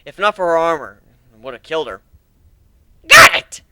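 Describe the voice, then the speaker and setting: A man's voice: a little high, lively intonation, fast talker, a bit bright. A man giving a dramatic first-person narration of a fantasy action story.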